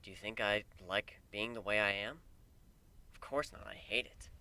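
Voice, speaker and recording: A man plays a brooding male griffin in a dramatic fan fiction reading. The voice is bright and forceful, with an intense, defiant edge. He sounds angry and world-weary. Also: on the low side, normal speed. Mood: defiant, bitter.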